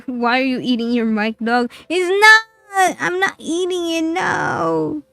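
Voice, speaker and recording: A woman streamer with a high, squeaky voice chats and giggles with her viewers while she plays. She rambles loosely from one thought to the next. The sound is close and dry, with faint game music underneath. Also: slow, gravelly, bright, forceful tone, no echo. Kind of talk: casual game-stream chatter.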